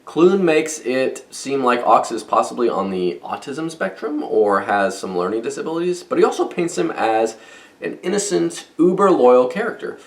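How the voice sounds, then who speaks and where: A man's voice: medium pitch, sing-song, normal speed, gravelly. A man hosting a book review vlog in semi-scripted talk, with wry, playful asides.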